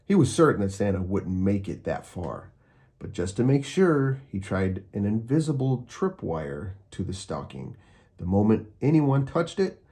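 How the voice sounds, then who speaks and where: A man narrating a children's storybook aloud, expressively and with deliberate, dramatic emphasis. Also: sits low, creaky, warm tone.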